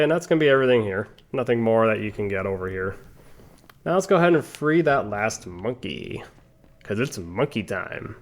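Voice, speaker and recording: A young man casually commenting on his game playthrough as host, rambling through chatty side stories with lots of ums and restarts while calmly guiding the viewer. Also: on the low side. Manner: relaxed, laid-back guiding of the viewer. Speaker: gaming channel host. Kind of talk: casual let's-play commentary.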